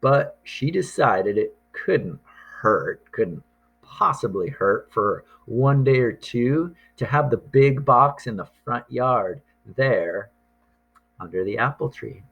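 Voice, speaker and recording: A man reading a picture book aloud to young children, deliberate and expressive, drawing out words and pausing for drama. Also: mid-range voice, creaky, breathy edge, lo-fi sound.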